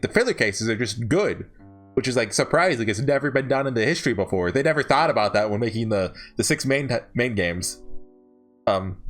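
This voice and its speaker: A man's voice: medium pitch, a bit bright. A man giving casual, unscripted ranking commentary as a reviewer, rambling through his opinions and often correcting himself mid-thought. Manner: rambling, opinionated, often self-correcting and seeking agreement with "right?". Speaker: visual novel reviewer.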